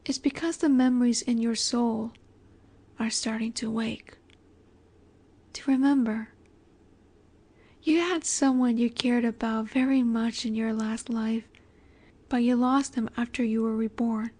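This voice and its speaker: Soft, slow-speaking vampire seductress, acted by a woman. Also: fairly high pitch, fairly even intonation. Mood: hushed, wistful. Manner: soft, breathy, measured, often dropping to a whisper. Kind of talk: intimate scripted audio roleplay.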